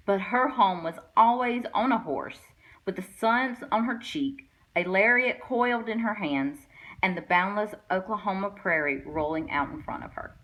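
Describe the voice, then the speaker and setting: A woman reads a picture book aloud for children. Her pitch sits in the middle range, and she tells the story expressively, as a guest reader would. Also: lively intonation, normal speed, a bit bright, rough recording.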